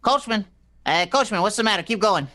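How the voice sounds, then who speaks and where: A man's voice: high voice, expressive, a little fast, pushed and bright, slight background noise. A man playing a flustered romantic on the run in an old-fashioned radio play, stammering and pleading. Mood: indignant, urgent.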